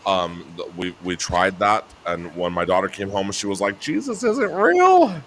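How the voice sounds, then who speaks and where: A man with a very deep, low voice chats easily, with frequent laughs and bursts into a higher voice when he imitates himself. A faint hiss of background noise sits under the recording. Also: very animated, moderate pace. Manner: laughing, breaks into high shouty voice when mimicking himself. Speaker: guest on a talk show. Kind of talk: casual chat. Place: New Mexico home.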